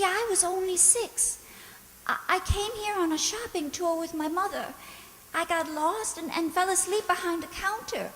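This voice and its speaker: A woman playing a frightened, naive young maid in a radio drama, speaking gently and hesitantly. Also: very high voice, big-room sound, heavily acted, poor audio. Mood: unhappy, timid. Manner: hesitant, timid, repeats words.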